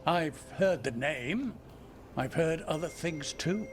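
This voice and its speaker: A cranky old elf in a fantasy game, wry and teasing. His speech moves up and down with lively swings, and music plays underneath. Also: fairly high pitch, slow pace. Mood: grave, wary. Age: older. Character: cranky old male elf, teasing mentor and herd keeper. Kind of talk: video game dialogue acting.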